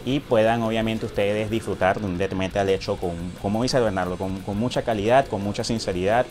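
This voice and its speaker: A man's voice: fairly low pitch, fairly even intonation, brisk, darkish tone, soft background music. A man hosting a casual interview, talking at length and leaning on filler words. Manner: rambling, leans on filler words. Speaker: interviewer, host.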